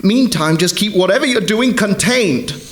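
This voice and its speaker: An actor playing a nervous, harmless inventor, hesitant and stammering in a comic radio play. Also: male voice, sits high, animated, moderate pace, fairly bright tone. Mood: anxious. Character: nervous, harmless male inventor, timid husband. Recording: roomy live stage recording.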